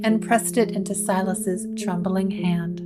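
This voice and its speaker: A woman narrating a scripted gothic horror story in a measured, dramatic storytelling manner. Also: mid-range voice, fairly even intonation, loud music behind the voice.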